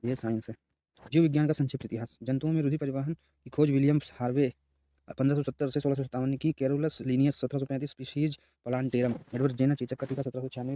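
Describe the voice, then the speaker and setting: A man's voice: medium pitch, mellow, low-quality recording. A man reading out facts as a teacher in an exam revision lesson, in terse lists with brief asides.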